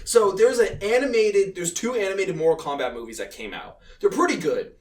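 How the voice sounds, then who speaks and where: Excitable guy podcasting, voice jumps around, swears, rambles, sounds far from the mic. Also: sits high, a little fast. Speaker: podcast host. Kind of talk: casual banter about games and films. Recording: roomy echo, distant from mic.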